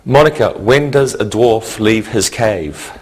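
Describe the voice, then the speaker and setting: A man teaching English grammar in a scripted lesson, speaking in a deliberate, didactic way and setting out each point in short phrases. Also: fairly low pitch, slightly rough.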